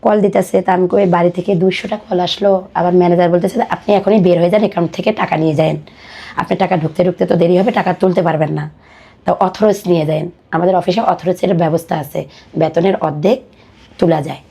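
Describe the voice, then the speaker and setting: A woman with a Bangladeshi accent telling her personal life story as a podcast guest, in colloquial, halting speech. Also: lowish voice, steady tone, creaky, warm tone, breathy edge.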